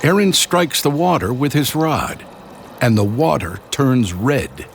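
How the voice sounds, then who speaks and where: A man narrating a scripted children's story in a dramatic, expressive way. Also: mid-range voice, a little slow, vocal fry, slight background noise. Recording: loud music behind the voice. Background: music.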